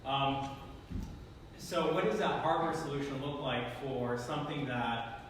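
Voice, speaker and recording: A man's voice: mid-range voice, fairly even intonation, a little slow, recorded from a distance. A man in a conference audience asking about hardware, talking loosely and thinking aloud. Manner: chatty, self-aware questioner. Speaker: audience member asking questions. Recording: echoey hall with room noise.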